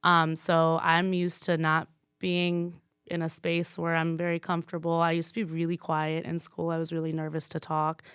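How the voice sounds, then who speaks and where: A young woman chatting on a podcast, candid and full of slang as she tells stories from growing up. Her voice is smooth and sits a little low, and the sound is dry and close. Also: normal speed, no room sound. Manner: lively, candid, slangy storytelling. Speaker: guest, former student working with the school. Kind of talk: casual podcast chat.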